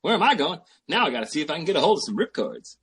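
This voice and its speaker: A man playing a pushy, scheming boyfriend with big schemes and a husky voice in an old-time radio comedy. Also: on the high side, sing-song, a bit bright, heavily acted. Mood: pleased, hurried. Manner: pushy, fast-talking salesman patter. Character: brash, scheming small-time hustler boyfriend.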